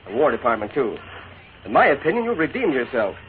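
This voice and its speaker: An actor as a lively, quick-talking army captain. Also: male voice, medium pitch, close to an everyday voice. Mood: formal. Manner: clipped, formal military speech. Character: earnest, anxious army captain of the Old West. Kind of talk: 1940s radio western acting. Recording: noisy, tinny 1940s radio broadcast.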